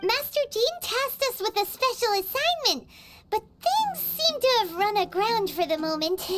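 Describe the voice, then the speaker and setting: A woman's voice: very animated, unhurried, heavy background noise, full character voice. A woman voicing a tiny, childlike fairy companion in a video game, chirpy and cute. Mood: earnest, peeved. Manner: cute, squeaky, third-person chatter. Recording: loud tinkling music under voice.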